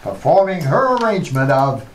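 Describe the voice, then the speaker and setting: A man's voice: a little high, sing-song, normal speed, a little hiss or hum. A man announcing and narrating an old-time radio comedy show from a script.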